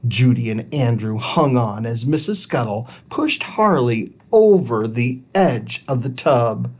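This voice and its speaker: A man reading a children's book aloud in an animated narrating style. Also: medium pitch, takes it slowly. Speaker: story reader.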